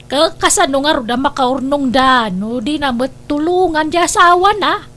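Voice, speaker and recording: A high-voiced neighbourhood friend from a family radio drama, played by a woman. She comes across as sympathetic, chatting as one confidante to another. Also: normal speed, a bit bright. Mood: concerned.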